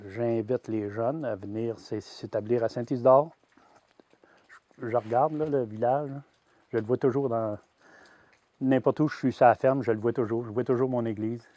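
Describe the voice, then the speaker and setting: A Québécois man in his sixties tells his story in a plain, middle-pitched voice, halting now and then on fillers and false starts. The sound is dry and close, with no room echo. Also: fairly even intonation, warm tone. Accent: Québécois French. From Quebec. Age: 60s. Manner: hesitant, many "euh" fillers and restarts. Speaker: grain-fed veal farmer. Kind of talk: personal testimony.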